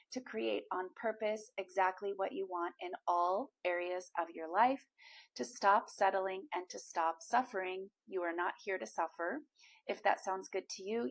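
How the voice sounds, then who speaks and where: A woman speaks as a manifestation coach straight to her viewer, encouraging and chatty. Her voice is slightly hoarse and froggy, with a gravelly fry running through it. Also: mid-range voice, poor audio. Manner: encouraging, addresses viewer directly with fillers. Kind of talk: direct-to-camera coaching talk.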